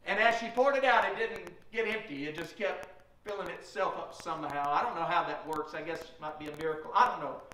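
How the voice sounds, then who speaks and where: A man's voice: on the high side, brisk, bright, forceful tone, slight background noise. A middle-aged man preaching a sermon at a pulpit in a small church, retelling Bible stories. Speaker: pastor. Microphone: room mic from a far camera. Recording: echoey church from far off.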